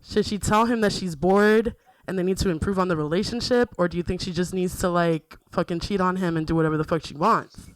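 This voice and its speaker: A woman's voice: lowish voice, fairly even intonation, a little fast, dry recording, poor audio. Playful woman hosting a podcast. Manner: playful, laughs often. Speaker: podcast host. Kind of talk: casual podcast chat.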